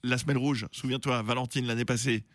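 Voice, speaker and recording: A man's voice: mid-range voice, fairly even intonation, fast, a bit bright, no room sound. A man hosting a casual morning radio show, quizzing listeners and joking. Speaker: radio host.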